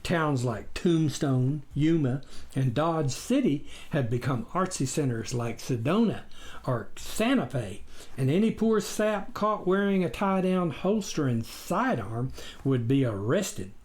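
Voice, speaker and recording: A man reading a story as scripted narration, in a deliberate, expressive audiobook style. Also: on the high side. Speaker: author reading own memoir.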